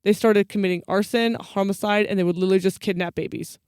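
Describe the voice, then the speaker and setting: A woman with a clear, smooth voice, recorded dry and close. She tells stories as casual chat, like a podcast co-host. Her retellings come in flippant everyday slang. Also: medium pitch, steady tone, fast talker, a little mellow, dry recording.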